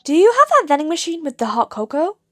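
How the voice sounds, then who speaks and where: Woman doing mouthy teen boy vigilante for an audio story, high voice, glib and unbothered, really dry sound. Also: sing-song, fast talker, fairly bright tone, no room sound, soft background music. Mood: helpful, deadpan. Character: deadpan, mouthy, reckless teenage boy vigilante.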